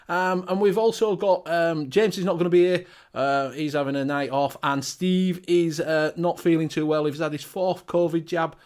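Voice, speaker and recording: A man with a Northern English accent hosts a laid-back live online show, his voice sitting fairly high for a man. He chats loosely, swears freely and runs through a list of viewers' names. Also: steady tone, moderate pace, hardly any pauses, a little mellow. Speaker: show host. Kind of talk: casual live-stream chat.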